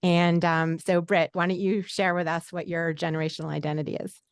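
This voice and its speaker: A woman's voice: on the low side, little pitch movement, fairly quick, clean tone, no room sound, studio quality. A woman in her late fifties speaking conversationally as the moderator of a webinar panel.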